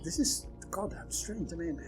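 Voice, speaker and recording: A man's voice: high voice, normal speed, faint music behind. A Swedish-accented man roleplaying a puzzled character, exclaiming in confusion. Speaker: player.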